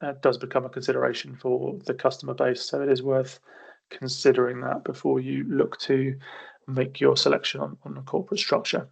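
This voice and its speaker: A man's voice: medium pitch, little pitch movement, fairly quick, gravelly, slightly rough. A man presenting a business webinar as an accountancy partner, methodically explaining with frequent small restarts while losing his voice. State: losing his voice, occasional coughs.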